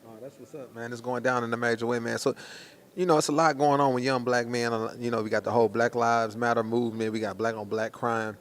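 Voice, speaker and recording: A man's voice: medium pitch, fairly even intonation, fast talker. An American man hosting promotional interviews in a slangy hype-man style, leaning on catchphrases. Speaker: interviewer and host.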